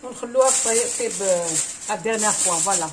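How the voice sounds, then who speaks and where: A woman's voice: medium pitch, fast, noisy background. A Kabyle-speaking woman hosts a home cooking tutorial, switching between French and Arabic with many hesitant fillers. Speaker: cooking channel host.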